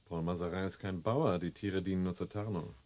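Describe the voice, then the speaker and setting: An actor in a German radio play playing a shrewd, guarded man in hiding with a husky voice. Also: low-pitched, steady tone, fairly quick, darkish tone, lightly acted. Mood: alert.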